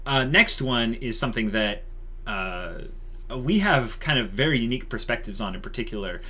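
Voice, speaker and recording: A man's voice: mid-range voice, animated, moderate pace, pushed and bright, slightly rough. A man hosting a channel, talking casually to viewers in a wordy, explanatory way full of qualifiers. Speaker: channel host.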